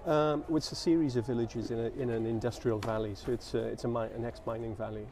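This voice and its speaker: A man from South Wales with a Welsh accent, whose first language is Welsh, answering interview questions in a measured way with frequent restarts. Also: medium pitch, fairly even intonation, far from the mic, noisy background. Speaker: guest. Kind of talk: casual interview conversation.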